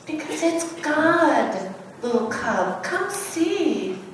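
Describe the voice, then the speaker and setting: Woman playing tender storybook mother bear, gentle, reassuring, lively intonation, distant from the mic. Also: sits high, very slow, voice pushed up, heavily acted. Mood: reverent. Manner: gentle, patient, explaining with wonder. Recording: echoey room, distant mic, background noise.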